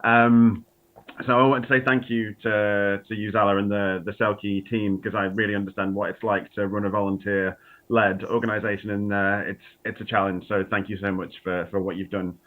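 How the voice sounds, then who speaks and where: A man's voice: fairly low pitch, flat delivery, moderate pace. Froggy-voiced man with a cold, tinny line. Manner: casual, self-deprecating, many ums. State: has a cold, slightly froggy. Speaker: author reading own work. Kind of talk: chatty introduction to a reading. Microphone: video call.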